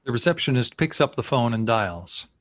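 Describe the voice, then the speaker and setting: A man's voice: mid-range voice, expressive, normal speed, no echo, studio quality. A man reading scene headings and action lines as narrator of a screenplay table read. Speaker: narrator reading stage directions.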